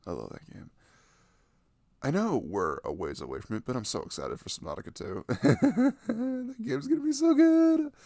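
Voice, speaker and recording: A man's voice: fairly high pitch, big pitch swings, moderate pace, vocal fry, warm tone, no room sound. A young adult man chatting casually and enthusiastically about video games in a rambling, slangy way, close to the microphone.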